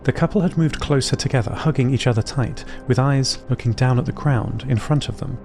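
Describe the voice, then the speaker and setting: A man narrating audio fiction as an observant, earnest robot, in a precise and measured way. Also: mid-range voice, gravelly, a little mellow, faint music behind, lightly acted.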